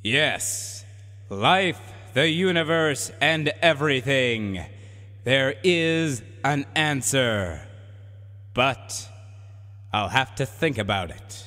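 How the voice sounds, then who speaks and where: A man's voice: medium pitch, expressive, extremely slow, bright, forceful tone, exaggerated voice. A man playing a pompous, haughty supercomputer in a science fiction radio drama, declaiming in grandiose archaic phrases. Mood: measured, grave. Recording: long reverberant hall echo.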